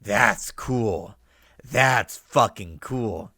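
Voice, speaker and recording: Guy reacting casually, thinking out loud, bright punchy voice, real dry close sound. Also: fairly low pitch, drawn-out words, no echo. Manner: animated, swearing, thinks aloud. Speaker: reaction streamer and commentator.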